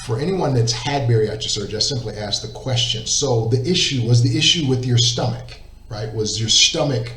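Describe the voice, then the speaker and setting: A man's voice: medium pitch, normal speed, bright, forceful tone. A middle-aged man telling his faith story as an interview guest, emphatic, repeating words and asking "right?". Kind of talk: earnest faith testimony. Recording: roomy echo, distant mic, faint noise.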